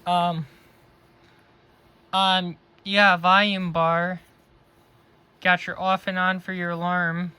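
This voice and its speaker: Man, fairly high pitch, sharp bright voice, casual reviewer, unscripted walkthrough. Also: little pitch movement, takes it slowly, long pauses, smooth. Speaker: product reviewer. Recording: faint background noise.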